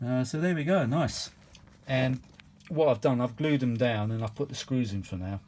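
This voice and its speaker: Male, chatty hobbyist repairer, mid-pitched, casual hands-on commentary, matey and rambling. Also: moderate pace, a little mellow, a little hiss or hum. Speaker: vintage audio repair hobbyist and video host. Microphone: phone held or propped at the workbench.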